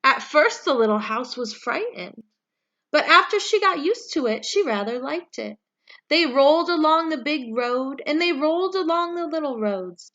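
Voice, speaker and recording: High-voiced woman reading a picture book aloud. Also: a bit bright, highs cut off. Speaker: story reader, read-aloud for young children.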